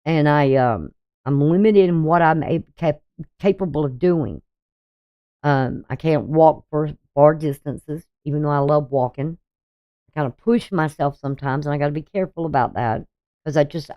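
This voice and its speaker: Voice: medium pitch, muffled recording. An astrologer in their sixties talking casually through a daily chart reading, rambling and hesitant, with many ums and restarts. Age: 60s.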